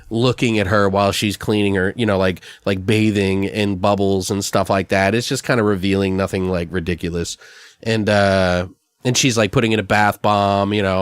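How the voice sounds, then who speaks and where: A man's voice: fairly low pitch, clear, resonant tone, no echo, studio quality. A man co-hosting a podcast, casually improvising a comic story in a rambling, profane way. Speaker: podcast co-host. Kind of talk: casual comic brainstorming of a made-up slasher story.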